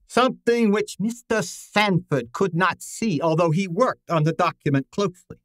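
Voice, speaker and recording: A man playing a commanding, fussy detective genius in an old-time radio drama, speaking formally and deliberately with pompous authority. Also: high voice, a bit bright, no echo, clean studio sound. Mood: imperious.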